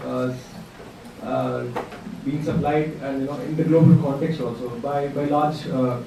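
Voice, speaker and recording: A man speaking at a workshop discussion, pausing often with fillers and restarts. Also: medium pitch, steady tone, creaky. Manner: hesitant, many fillers and restarts. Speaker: workshop participant. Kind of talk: spoken contribution in a group discussion. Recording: distant mic in an echoey room with faint noise.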